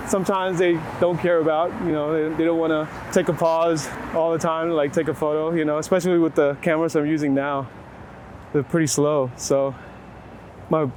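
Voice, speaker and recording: A middle-aged man giving casual, reflective interview answers, often rewording his thoughts as he goes. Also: on the high side. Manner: halting, filler-heavy phrasing with frequent rewording. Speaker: photographer being interviewed. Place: outdoors in a city. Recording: outdoor city traffic noise. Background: urban ambience, vehicles.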